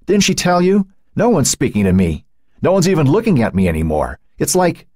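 A man's voice: low-pitched, big pitch swings, fast, a little mellow, no room sound, clean studio sound. A man voicing an anguished, defensive teenage boy in an audiobook, with a tight, breaking voice and pleading outbursts. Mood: bitter, distraught. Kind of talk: audiobook character acting.

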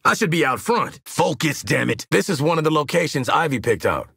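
An actor with an American accent playing a gruff, sardonic assassin in a video game, blunt and slangy, with a raspy voice. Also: male voice, fairly high pitch, very animated, brisk, pushed and bright, no echo, studio quality, exaggerated voice. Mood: confident, dry. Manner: brash, blunt, street-smart slang, barking callouts. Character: gruff, no-nonsense male assassin, sardonic squad sharpshooter. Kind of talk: video game combat voice lines.

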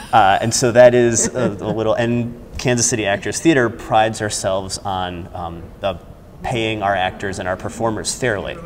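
A man in his thirties with an American accent and a fairly low voice. He explains things informally as an interview guest, pausing as he goes. Noticeable ambient noise from a large indoor lobby sits behind him. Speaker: theater company staff member interviewed as guest. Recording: clear background noise, busy lobby ambience.

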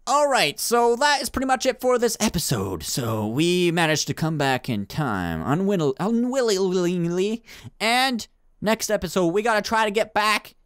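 A man hosting a gaming video with casual roleplay commentary, excitable and directing his companions. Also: high-pitched, normal speed, smooth, no echo, studio quality.

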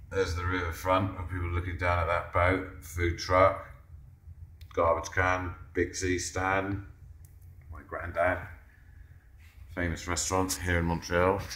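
A man with a deep, fairly monotone voice gives a relaxed, offhand tour of his wall mural. He points things out casually as he walks with the camera. The office lunchroom around him rings with a noticeable echo. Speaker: muralist giving a walkthrough. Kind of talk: casual narrated video tour.

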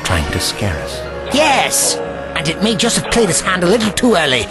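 A man's voice: sits high, wildly swooping pitch, normal speed, music in the background, exaggerated voice. A man playing an imperious, irascible old time traveller in an audio drama, with pompous, old-fashioned, scolding speech. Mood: urgent. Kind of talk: amateur audio drama acting.

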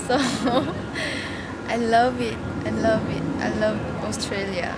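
A woman's voice: a little high, steady tone, slow pace, very noisy. A young woman from South Korea with a Korean accent, answering interview questions in simple English and giggling often, recorded outdoors on a handheld camera. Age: young adult. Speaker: language school student. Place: outdoors by a building. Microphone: handheld camera up close.

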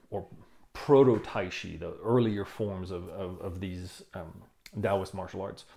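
A man in his fifties giving a casual explanation to camera, thoughtful and halting, stressing key words. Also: lowish voice, dark tone. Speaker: tai chi teacher reviewing a book. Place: small room indoors. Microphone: lapel mic.

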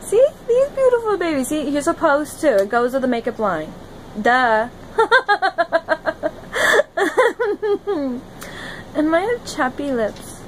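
Young woman vlogger, high and unhurried, dreamy and giggly, filmed on a phone in a small room. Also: very animated, a little hiss or hum. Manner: dreamy, giggly, drifting between loud bursts and soft murmurs. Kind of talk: rambling monologue to camera. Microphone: phone camera at arm's length.

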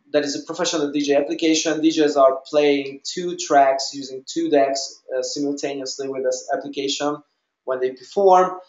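A man giving a technical explanation in a webinar as a developer, with halting, hesitant phrasing. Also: mid-range voice. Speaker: software developer presenter. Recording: dull, roomy webinar audio.

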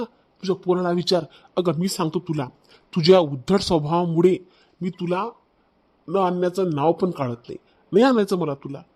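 A man's voice: sits high, a little mellow. A man voicing an aggrieved, proud young husband in a cartoon family drama, accusing and ranting, with a Vidarbha Marathi accent. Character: aggrieved, proud young husband complaining about his wife.

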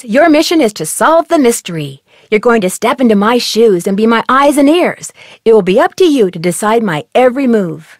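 A woman's voice: fairly high pitch, no room sound, clean studio sound. A young woman voicing a curious, upbeat female detective who guides the player in a friendly, instructive way. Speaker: game narrator and guide. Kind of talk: video game voice acting.

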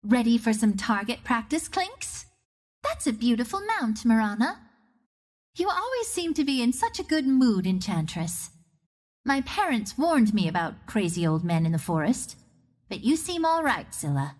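Video game hero: a self-assured young woman archer of the wind, teasing and theatrical, with lively swooping intonation. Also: a little high, normal speed. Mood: playful, friendly. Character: confident, wry young female archer ranger of the wind.

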